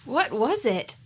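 Woman playing a scared pious young bride, really high voice, slowish, pleading, radio drama. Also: fairly bright tone, breathy edge, full character voice. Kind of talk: audio drama acting.

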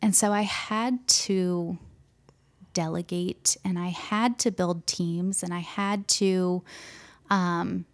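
Soft-spoken young woman, slow and gravelly. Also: on the low side, fairly even intonation. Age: young adult. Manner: soft-spoken, gentle, hesitant with many ums. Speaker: pastor. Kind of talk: interview conversation.

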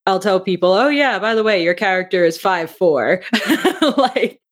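A woman in a casual interview chat, talking in an animated way and acting out bits of quoted thought as she explains her creative work. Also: mid-range voice, unhurried. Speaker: interview guest, audio drama writer and director.

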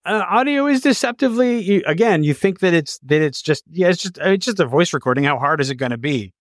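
A man hosting a conversational podcast interview, halting as he searches for words. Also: high voice, expressive, no room sound, studio quality.

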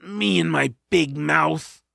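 A man's voice: a little high, takes it slowly, creaky, sharp tone, no room sound, clean studio sound, full character voice. A man acting a grumpy, sarcastic swamp creature who likes his naps, in an audio drama. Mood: grumbling. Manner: blunt, sarcastic, grumbling. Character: grumpy nap-loving male swamp creature, gruff reluctant rescuer.

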